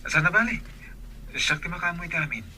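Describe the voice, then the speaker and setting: A man's voice: on the high side, animated, a little fast, bright, forceful tone, slight background noise. A man playing an earnest, lovestruck young village man in a radio-style story drama. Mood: courting.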